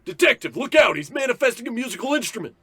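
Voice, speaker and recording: A frantic police underling in a comic radio play. His voice is strained and rough, pitched extremely high and swooping wildly as he cries out in alarm. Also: big pitch swings, quick pace, fairly bright tone, full character voice. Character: panicky, excitable police sergeant sidekick. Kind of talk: old-time radio comedy acting.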